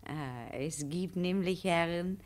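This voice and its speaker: An actress playing a cool, coy woman under interrogation in a German radio play, speaking with measured, quiet irony. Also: lowish voice, very noisy. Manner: measured, knowing, quietly ironic. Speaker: actor.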